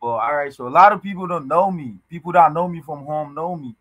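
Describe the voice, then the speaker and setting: Young man, from Ghana, podcast guest, video call, halting casual talk, dry close sound. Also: a little high, fairly bright tone, dry recording. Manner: halting, many restarts and fillers, loose slangy talk. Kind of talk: casual conversation. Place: indoors, small room at home.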